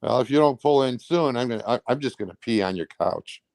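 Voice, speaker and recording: A man's voice: mid-range voice, lively intonation, fairly quick, a little mellow, no room sound. A man talking casually as an interview guest, recounting personal events in a rambling, blunt, colloquial way. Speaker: truck driver.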